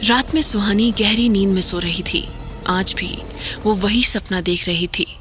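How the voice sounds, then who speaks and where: A woman narrates an audio drama in a steady storytelling manner. Her voice sits mid-range. Faint music plays behind her. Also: mid-range voice, unhurried. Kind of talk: scripted narration.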